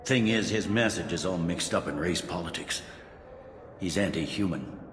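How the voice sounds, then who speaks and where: A seasoned cop played by a man in a video game, low in pitch and matter-of-fact. He sounds pragmatic and authoritative, slightly weary, in an echoey space with faint ambient noise. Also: moderate pace, lightly acted. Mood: explanatory. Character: seasoned, pragmatic police officer.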